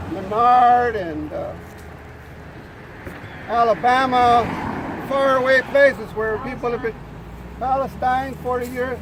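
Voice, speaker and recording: An older man speaking loudly and plainly at an outdoor protest rally, pausing often with fillers. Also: extremely high pitch. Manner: loud, plain-spoken, halting with fillers. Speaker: rally speaker, former prisoner. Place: outdoors at a street demonstration. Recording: noisy outdoor street recording.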